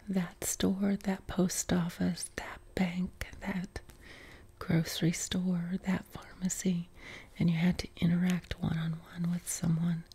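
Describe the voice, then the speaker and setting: A middle-aged woman from West Virginia with a softened Appalachian accent, whispering an unhurried question-and-answer chat from her living room couch, close to the mic. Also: lowish voice, slightly rough. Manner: unvoiced whisper, unhurried with many ums. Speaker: ASMR creator. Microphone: close mic, camera framed on torso.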